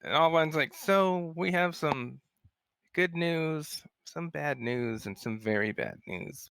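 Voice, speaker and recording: A man's voice: on the high side, slow pace, gravelly, a bit bright, clear, resonant tone, dry recording. A man playing a tabletop role-playing game, talking casually and speaking for his character.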